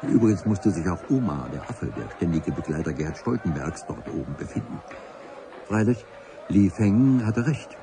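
An actor playing a solemn, pedantic civil servant who narrates a radio play in formal, wordy sentences with a husky voice. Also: male voice, low-pitched, very animated, over music. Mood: solemn, wry. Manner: formal, wordy, dramatic pauses.

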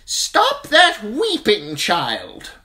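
A stern older governess, scolding and prim, her voice pitched up and swinging sharply, as a male reader puts her on. She sounds strict and firm, from a fantasy audiobook. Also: huge pitch swings, very slow, pushed and bright, full character voice. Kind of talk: audiobook character voice.

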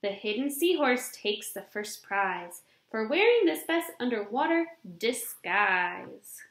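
A young adult woman reading a children's picture book aloud in an expressive, deliberate storybook delivery, recorded in a room at home. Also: fairly high pitch. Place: small indoor room at home. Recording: roomy, echoing home webcam recording.

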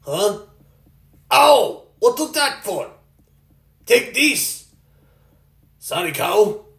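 A woman's voice: mid-range voice, big pitch swings, drawn-out words, gravelly. A woman performing short, exclamatory cartoon character lines as an amateur voice actor, in a theatrical manner. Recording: roomy, echoey home recording.

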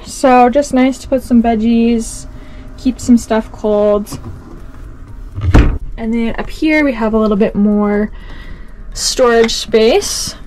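An enthusiastic young woman chats to her handheld camera as she tours a camper van, her voice sitting a little high and her delivery unhurried. She giggles easily and sounds excited, and a faint hiss of ambient noise sits under the recording. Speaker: vlogger. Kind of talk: casual van tour vlog. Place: inside a parked camper van. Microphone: handheld camera, close then walking around.

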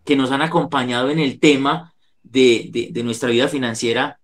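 A man's voice: medium pitch, fairly even intonation, normal speed. A middle-aged man with a Colombian accent, speaking earnestly in a preacher-like way as he gives a devotional talk over a video call. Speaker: psychologist giving a talk. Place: indoor room, on a video call. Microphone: video call microphone.

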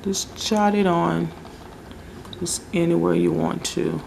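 A woman casually talking through a home nail tutorial, relaxed and chatty, often searching for words. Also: on the low side, slow, gravelly, slight background noise. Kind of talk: casual how-to narration. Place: home table, indoors.

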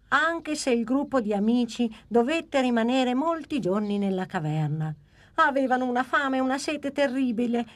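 A woman tells the story with calm, even storybook narration between the scenes. Her voice sits high and moves with a lively lilt. It is the voice-over of a dubbed cartoon.

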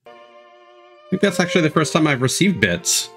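Lively male streamer over background music. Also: on the high side, fairly quick. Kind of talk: casual live reaction commentary. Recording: music and trailer audio behind. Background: game showcase music.

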